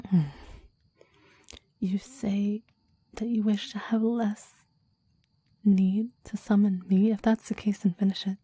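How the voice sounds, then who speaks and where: A woman voicing a possessive, devoted demon in an intimate ASMR roleplay, speaking in soft breathy whispers with a formal, intense, adoring manner. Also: medium pitch, little pitch movement, takes it slowly, long pauses, no echo. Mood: insistent. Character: possessive, devoted clingy demon bound to a summoner.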